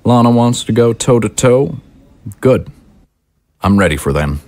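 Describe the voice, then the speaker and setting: An actor playing a fiery, short-tempered political broadcaster and movement leader in an audio drama, speaking emphatically. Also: male voice, medium pitch, animated, measured pace, darkish tone, close to an everyday voice. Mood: combative, irritable. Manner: combative, emphatic, oratorical. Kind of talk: dramatic audio screenplay acting.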